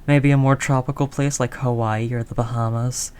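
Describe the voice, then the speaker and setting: A woman playing a tough, doting mafia heiress girlfriend in an intimate audio roleplay, low-voiced, talking in affectionate slangy tough talk. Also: fairly even intonation, normal speed, darkish tone, smooth. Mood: devoted, earnest.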